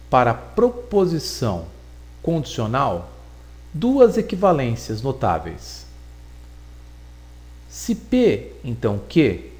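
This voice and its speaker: A man giving a recorded logic lesson, explaining deliberately and repeating points for emphasis. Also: medium pitch, a little mellow. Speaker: teacher.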